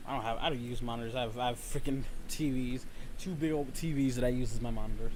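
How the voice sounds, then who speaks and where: A man's voice: mid-range voice, steady tone, fast, noisy background. A man hosting a casual livestream chat, talking informally and swearing freely. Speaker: livestream host.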